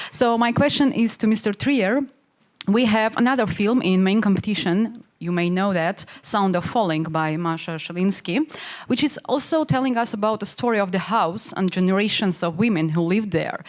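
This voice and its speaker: A woman from Armenia, a journalist, asking a long, friendly question at a press conference. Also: lowish voice.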